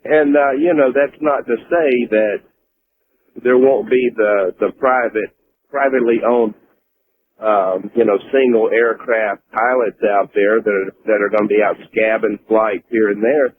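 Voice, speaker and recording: A man with an American accent calls a radio show in a casual, conversational way. His voice is very deep. The sound is narrow and tinny, as over a phone line on air. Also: steady tone, unhurried, slightly rough. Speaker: caller, trucker.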